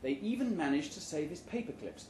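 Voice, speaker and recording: A man reading a short story aloud at a live event with a deadpan, measured comic delivery. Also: a little high. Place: indoor room at a live literary event. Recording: roomy live venue recording with background noise.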